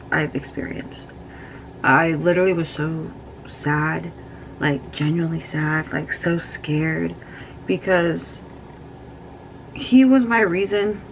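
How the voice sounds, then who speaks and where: A young woman in her twenties chats through a get-ready-with-me makeup routine at home. She talks candidly, swears freely and fills her speech with lots of fillers. Her voice has a gravelly fry, with a faint hiss in the background. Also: low-pitched, poor audio. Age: 20s. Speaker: beauty vlogger. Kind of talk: casual get-ready-with-me chat.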